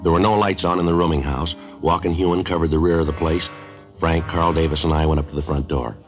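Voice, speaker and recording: An actor playing a terse, matter-of-fact American police detective who also narrates a 1950s radio drama. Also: male voice, extremely low pitch, fairly even intonation, fast. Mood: matter-of-fact narration. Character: male Los Angeles police detective, terse and businesslike. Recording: old radio recording with music.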